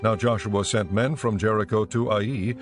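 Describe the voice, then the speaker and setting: A male narrator reads scripture as a dramatic story. His voice is fairly low and his delivery measured. Quiet music runs underneath. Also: steady tone.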